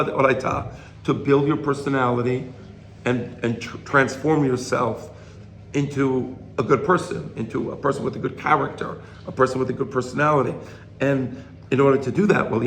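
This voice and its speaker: A slightly hoarse man giving an informal religious lecture to a study group, explaining and checking in with rhetorical questions. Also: mid-range voice, fairly bright tone. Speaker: Torah teacher. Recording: roomy echo, faint background noise.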